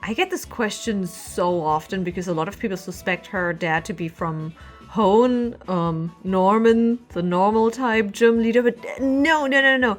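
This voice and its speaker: A woman giving casual Q&A answers to her viewers as a webcomic artist, in an informal, animated and emphatic way. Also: medium pitch, measured pace, faint music behind. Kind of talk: casual Q&A vlog chat.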